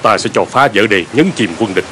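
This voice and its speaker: A man playing a stern, commanding veteran general in an animated war epic, speaking with declamatory authority. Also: on the low side, brisk, noisy background, natural voice. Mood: cold, resolute. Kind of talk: Vietnamese TV dub of an animated historical war epic. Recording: dramatic music behind the voice.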